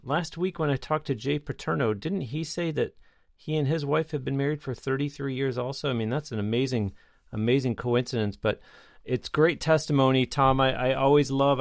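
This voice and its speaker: A man's voice: medium pitch, vocal fry, no echo, studio quality. A man hosting a faith radio show, affably wrapping up an interview and leading a prayer.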